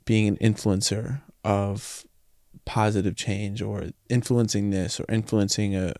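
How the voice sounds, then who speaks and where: Man, podcast host, lowish voice, thoughtful, hesitant phrasing, dry sound. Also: little pitch movement, a little slow, darkish tone, no echo. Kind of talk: interview conversation.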